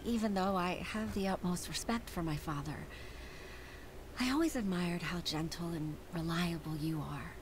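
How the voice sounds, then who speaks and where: A woman voicing an earnest, self-doubting middle-aged secretary in a video game, speaking hesitantly with soft sighs. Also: lowish voice, normal speed, noisy background, natural voice. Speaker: game character. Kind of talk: game voice acting.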